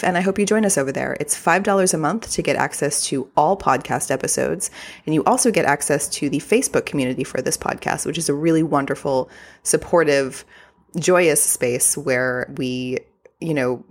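A young woman from Texas with a mild Texan accent, hosting a podcast in a chatty, effusive way. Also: sits low. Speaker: podcast host. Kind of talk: casual solo podcast talk.

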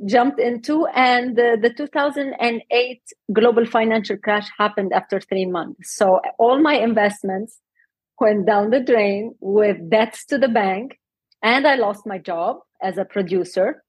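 A middle-aged woman from Lebanon, a health coach and guest, talking in a relaxed interview and laughing often. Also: on the high side, a little slow, slightly rough, no echo, poor audio. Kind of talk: casual interview talk.

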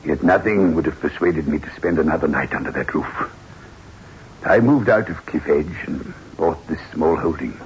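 An actor playing a grave, haunted widower in a radio drama, formal and measured, with a foreboding manner. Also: male voice, very deep voice, expressive. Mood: grave warning. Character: grave, haunted widower, male landowner of modest means. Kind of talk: 1960s radio drama acting. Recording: muffled, hissy old radio recording.

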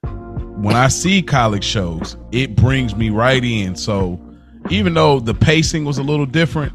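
A man's voice: mid-range voice, expressive, a little slow, faint music behind. An American man co-hosting a podcast, talking in an informal, slangy conversational way. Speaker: podcast co-host. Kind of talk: casual chat.

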